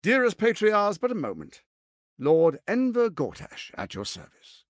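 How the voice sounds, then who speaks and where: An actor playing a suave, scheming tyrant lord in a fantasy video game, speaking in a pompous, silky, mock-courteous and theatrical manner. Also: male voice, sits high, wildly swooping pitch, a little slow, no room sound, studio quality, exaggerated voice. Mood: grandly courteous.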